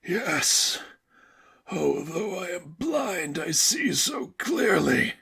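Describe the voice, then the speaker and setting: A meek young zealot for an audiobook-style story. The voice is pitched high and badly frayed into breath, creaking and straining as it begs. Words come slowly and haltingly, full of supplication. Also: male voice, very animated, bright, forceful tone, heavily acted. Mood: fervent. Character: meek, pleading, feverishly devout young man.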